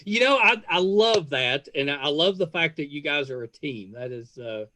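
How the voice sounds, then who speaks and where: A man hosting a casual podcast interview, speaking in a halting, rambling and effusive way. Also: a little high, expressive, sharp tone, a little hiss or hum.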